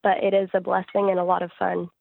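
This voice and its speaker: A woman's voice: fairly low pitch, completely flat delivery, quick pace, gravelly, narrow, tinny audio. A woman who sings and writes gospel music, answering interview questions in a conversational way. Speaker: interview guest, gospel singer-songwriter.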